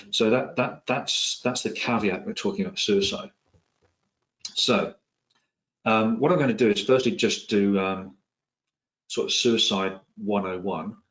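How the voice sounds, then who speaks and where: A middle-aged man from England, his accent softened by years in New Zealand, giving an online talk as a psychiatrist, with many ums, restarts and dry asides. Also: low-pitched, low-quality recording. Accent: English, with some New Zealand influence. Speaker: psychiatrist and presenter. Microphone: video call.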